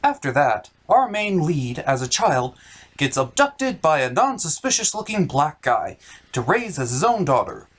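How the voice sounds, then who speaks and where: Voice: mid-range voice, big pitch swings, a little slow, breathy edge. A theatrical, exaggerated host narrating a scripted comedic movie recap.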